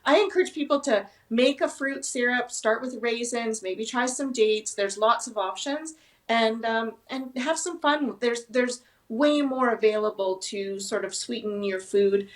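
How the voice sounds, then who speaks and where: A woman's voice: on the high side, measured pace. A woman chatting casually as a food expert guest in an interview.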